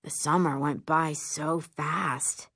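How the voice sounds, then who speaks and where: Woman doing a relaxed, low-key teenage boy. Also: takes it slowly, gravelly, slightly rough, dry recording, studio quality, exaggerated voice. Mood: wistful. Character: Raph, relaxed teenage boy, narrator's sweetheart.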